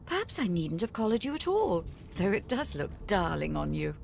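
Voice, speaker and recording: A woman's voice: mid-range voice, big pitch swings, normal speed, vocal fry, darkish tone, soft background music. A woman playing a smug, condescending jailer in a video game, teasing and patronising with mock sweetness. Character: smug, condescending female prison-ship magister, mockingly sweet jailer.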